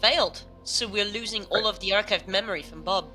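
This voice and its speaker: A woman's voice: medium pitch, a little fast, bright, forceful tone, soft background music, close to an everyday voice. A woman playing a doubtful, questioning team member in an audio drama, searching for her words in natural, improvised-sounding dialogue. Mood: anxious, doubtful.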